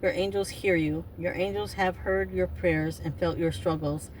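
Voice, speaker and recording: Woman, 50s, card reader. Lowish voice, chatty and rambling, a little far from the mic with faint hiss. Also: steady tone, moderate pace, darkish tone. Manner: rambling, self-interrupting, chatty. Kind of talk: casual spiritual card reading. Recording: distant mic with faint noise.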